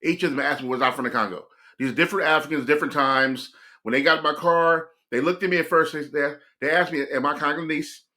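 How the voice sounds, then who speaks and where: A man's voice: fairly high pitch, fast talker, bright, forceful tone. An American man hosting a reaction video, talking in a reflective, rambling way and addressing his viewers directly. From United States. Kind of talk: casual reaction commentary.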